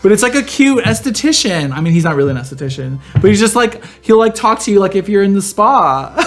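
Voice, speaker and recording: A podcast co-host chatting casually, telling stories in an animated, campy way full of slang. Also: medium pitch, fairly quick, soft background music. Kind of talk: casual chat.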